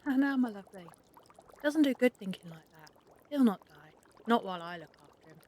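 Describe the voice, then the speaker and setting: A woman's voice: a little high, lively intonation, brisk, a little mellow. A woman playing a kindly, stubbornly loyal village nurse in a period audio drama, with rustic, homely turns of phrase. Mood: soothing, homely.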